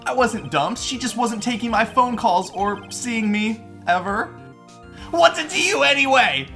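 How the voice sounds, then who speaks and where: An excitable young dude pushed up high and swinging wildly in pitch. He is indignant, slangy and melodramatic, a whiny video game defendant with music behind him. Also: unhurried, heavily acted. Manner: loud, dramatic, slangy. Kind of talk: video game fan dub.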